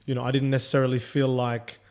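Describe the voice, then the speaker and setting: A man's voice: medium pitch, level tone, quick pace, darkish tone. Chatty Australian man, rambling. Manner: rambling, filler-heavy storytelling. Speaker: podcast co-host. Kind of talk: casual chat.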